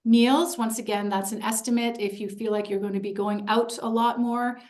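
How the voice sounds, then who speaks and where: A woman presenting an info session in a clear, organized, upbeat way. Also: on the high side, fairly even intonation, normal speed, a little mellow.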